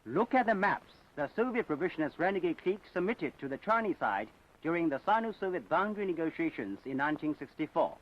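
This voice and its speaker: A man's voice: fairly high pitch, big pitch swings, quick pace. A man narrating a scripted propaganda documentary in a solemn, emphatic, declamatory manner. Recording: dull 1960s film soundtrack.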